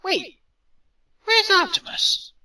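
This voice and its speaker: A man's voice: extremely high pitch, slow pace, gravelly, sharp tone, far from the mic, exaggerated voice. A man playing a stern, pleading robot leader, firm and insistent, in an audio drama. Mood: hesitant, concerned.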